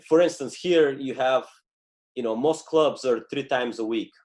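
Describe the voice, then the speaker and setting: A man from Bulgaria, a native Bulgarian speaker in his thirties, talking as a podcast guest with frequent pauses and fillers. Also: mid-range voice, a little mellow. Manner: hesitant, many fillers and restarts. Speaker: guest, former Olympic wrestler. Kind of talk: podcast interview.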